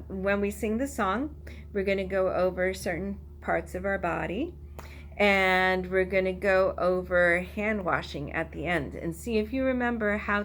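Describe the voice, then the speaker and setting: A woman's voice: mid-range voice, fairly bright tone, a little hiss or hum. A woman teacher talking to toddlers, giving instructions in simple, repeated phrases. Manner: simple, repetitive phrasing addressed to small children. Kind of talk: instructional talk and sing-along for toddlers.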